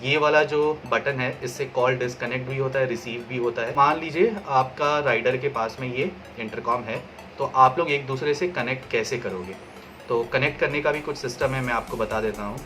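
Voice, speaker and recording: A man presents a casual product review in a bright, forceful mid-range voice. He explains things step by step and slips English phrases into his sentences. Music plays behind him. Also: mid-range voice, normal speed. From India.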